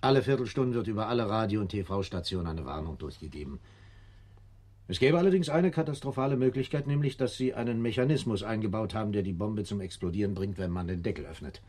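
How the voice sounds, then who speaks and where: An actor playing a composed, determined police captain in a radio drama, matter-of-fact and authoritative. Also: male voice, lowish voice, fast talker, a little mellow, lightly acted. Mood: calm, serious.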